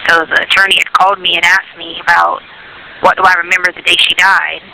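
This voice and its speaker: A woman's voice: lowish voice, little pitch movement, fast talker, vocal fry, bright, forceful tone, slight background noise. A woman chatting casually on a prison phone call, explaining things in a rambling way and checking that the listener follows. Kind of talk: casual personal phone chat. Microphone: telephone handset.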